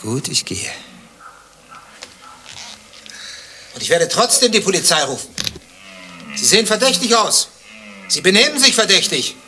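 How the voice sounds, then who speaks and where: Casting: nosy, suspicious stranger for radio satire. Bright, forceful voice, deadpan insinuation, clear background noise. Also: male voice, high-pitched, normal speed, full character voice. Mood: accusing, insistent. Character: nosy, suspicious male stranger pestering a waiting man. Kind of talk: dialogue-driven satirical radio drama acting.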